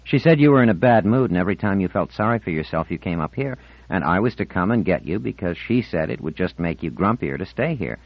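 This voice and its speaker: A man's voice: sits low, lively intonation, fast, no room sound, highs cut off. A man voicing a cheerful, teasing teenage boy in an audiobook, breezy and playfully reasoning.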